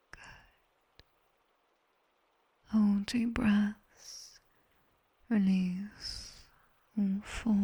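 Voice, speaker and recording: A British woman playing a sharp-tongued nightmare demoness tutor in an ASMR roleplay, whispering breathily and close to the microphone with clipped, teasing authority. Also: mid-range voice, husky, full character voice. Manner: slow, clipped instructions with dry, teasing praise. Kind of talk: ASMR roleplay, guided sleep session. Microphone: close binaural microphone.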